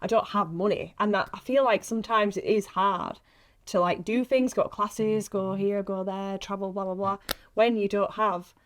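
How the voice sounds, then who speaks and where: Woman chatting on a podcast, slangy, puts herself down a bit, normal pitch. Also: fairly even intonation, normal speed. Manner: chatty, self-deprecating, slangy. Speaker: actress interviewing a fellow actress on a podcast. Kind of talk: casual chat.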